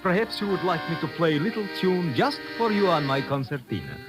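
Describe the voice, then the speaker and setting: An actor playing a wheedling little bar musician and informant in old-time radio drama. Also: male voice, fairly high pitch, lively intonation, brisk, music in the background, close to an everyday voice. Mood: sly, ingratiating.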